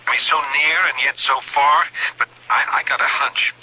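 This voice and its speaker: An actor playing a determined, restless amateur sleuth with an American accent and a husky voice in a radio drama. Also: male voice, a little high, fairly even intonation, normal speed. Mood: frustrated, determined. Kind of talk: 1980s radio drama acting. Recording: dull telephone-like radio broadcast.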